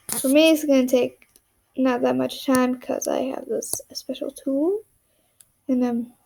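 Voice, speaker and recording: A young woman narrating a casual drawing tutorial in a monotone, rambling way, often dropping to a whisper as she works. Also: high voice, a little hiss or hum, poor audio. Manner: monotone, rambling, self-deprecating.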